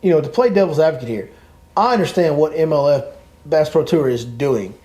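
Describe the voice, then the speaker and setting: A man's voice: fairly high pitch, expressive, a little fast, mellow. A man hosting a podcast, giving his opinion emphatically and repeating points as he rants. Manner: emphatic, repetitive, ranting. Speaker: podcast host and fishing guide.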